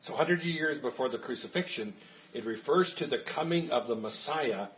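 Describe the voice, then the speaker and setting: A man's voice: mid-range voice, expressive, fairly quick, rough recording. Pastor losing his voice. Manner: earnest, explanatory, answers questions. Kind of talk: Bible study teaching.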